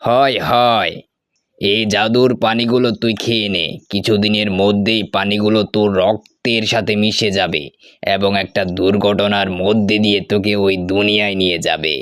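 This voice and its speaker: A man plays a scheming hermit king for a cartoon fable. The voice is low and smooth, recorded dry. He speaks grandly, like a guru who is used to being obeyed. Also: level tone, normal speed, a bit bright, dry recording, natural voice. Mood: coaxing, avuncular. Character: sly male hermit who is secretly an island king. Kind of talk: animated story character acting.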